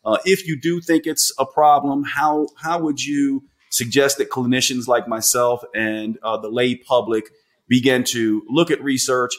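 A man's voice: medium pitch, fairly even intonation, unhurried. A man hosting a podcast, a clinician asking long, winding, earnest questions with restarts. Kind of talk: interview questions.

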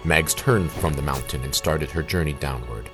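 A man's voice: extremely low pitch, moderate pace. A man narrating a scripted audio drama in a dramatic, suspenseful storytelling manner. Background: music.

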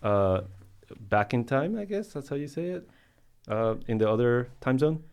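A man's voice: mid-range voice, very animated, moderate pace, a little mellow, smooth. A man from Colombia, a native Spanish speaker, talking casually as a podcast guest and searching for his words. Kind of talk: casual podcast interview talk.

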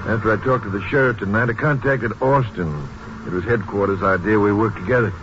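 Guy playing folksy highway cop captain, lowish voice, muffled 50s radio drama. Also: a little fast, fairly bright tone, lightly acted. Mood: businesslike. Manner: folksy, laconic. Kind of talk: 1950s western radio drama acting. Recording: noisy, muffled old radio recording.

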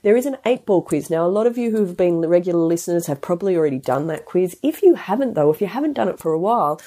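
Congested woman, low and mellow. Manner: chatty, rambling with restarts and asides. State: congested with a head cold. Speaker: podcast host and health practitioner. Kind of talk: casual solo podcast talk.

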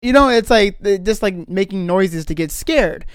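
An excitable, giggly podcast co-host chatting casually about games and often repeating phrases. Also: medium pitch, normal speed, very noisy. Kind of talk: casual gaming podcast chat.

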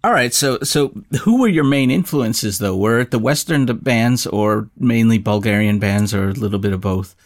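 Man, fairly low voice, interviewer, conversational questions and reflections. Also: moderate pace, few pauses. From the West.

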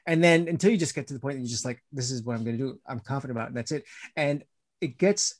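A man's voice: mid-range voice, very fast. A man chatting as a guest, reflective and rambling, often restarting his sentences. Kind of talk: casual conversation.

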